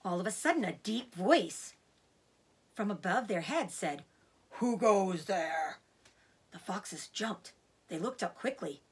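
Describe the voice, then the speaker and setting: A woman narrating a children's story aloud in an expressive read-aloud style. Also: medium pitch, a little slow, breathy edge.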